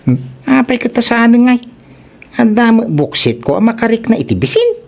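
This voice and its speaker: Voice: mid-range voice, big pitch swings, normal speed, vocal fry, a little mellow. A performer with an Ilocano accent playing a lazy, boastful, lovestruck grown son, cheeky and whiny, in a comedy radio drama.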